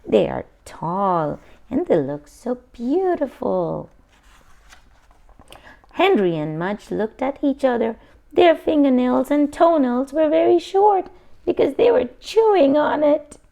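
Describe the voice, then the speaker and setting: A woman's voice: fairly high pitch, vocal fry, dark tone. A woman reading a children's picture book aloud expressively, adding simple asides for young listeners.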